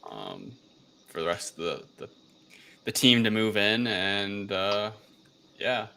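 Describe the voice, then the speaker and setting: A man casually recapping a film as a podcast co-host, rambling and halting, with frequent swearing. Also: lowish voice, expressive, vocal fry, sharp tone, clean tone.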